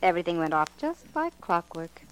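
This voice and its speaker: A woman's voice: lowish voice, big pitch swings, brisk, poor audio. A woman acting a scheming, insistent young woman in a melodramatic 1940s radio crime drama, with an American accent. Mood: cool, guarded. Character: scheming young woman, hysterical accuser, cool accomplice. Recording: old 1940s radio recording.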